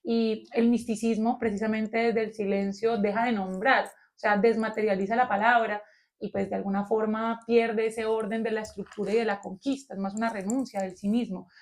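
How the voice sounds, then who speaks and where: A woman with a Colombian accent and a fairly high voice co-hosts a round-table on philosophy. She talks in a lively, searching way, thinking aloud and reaching for her ideas with plenty of fillers. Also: normal speed. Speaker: podcast co-host.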